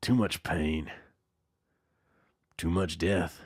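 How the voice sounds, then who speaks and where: An actor playing a weary, grieving musician giving plainspoken, reflective testimony in an audio documentary. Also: male voice, low voice, steady tone, extremely slow. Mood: wistful, somber. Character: weary, grieving male musician looking back.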